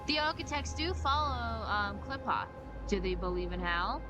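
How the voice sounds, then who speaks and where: A woman's voice: a little high, animated, moderate pace. A woman streaming a game, giving casual commentary and reading dialogue aloud. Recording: loud game music behind the voice.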